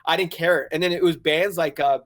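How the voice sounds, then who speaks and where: Man, 40s, grew up in Hong Kong; lively storyteller, slightly higher voice, laughs a lot. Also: brisk. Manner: animated storyteller, laughs often, acts out his own past thoughts. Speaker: guest, website founder. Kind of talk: casual interview conversation.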